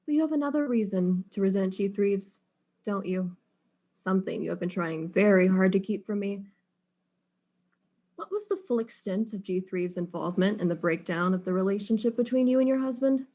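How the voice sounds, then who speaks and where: A woman acting a suspicious, persistent detective in a mystery play, questioning in a formal, measured way. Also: mid-range voice, dark tone, natural voice. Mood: probing. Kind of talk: murder-mystery play dialogue.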